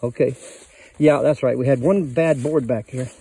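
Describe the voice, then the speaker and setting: A man's voice: medium pitch, steady tone, moderate pace, creaky, mellow, breathy edge. An older man casually showing his cabin outdoors in the woods, rambling in a folksy way with many fillers, recorded on a handheld phone. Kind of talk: casual walkthrough chat.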